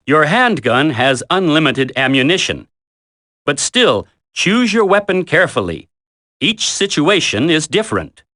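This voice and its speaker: An actor playing a military instructor in a video game tutorial, coaching a recruit in a firm, deliberate, commanding way. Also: male voice, on the high side, sing-song, pushed and bright, full character voice. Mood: instructive, firm.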